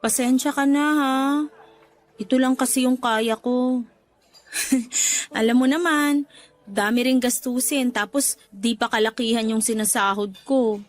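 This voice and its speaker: Radio drama lead, female actor: young Filipino working woman, high-pitched, expressive, emotional. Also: measured pace, long pauses, close to an everyday voice. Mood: shy, regretful. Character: emotional, guilt-ridden young working daughter, newly independent.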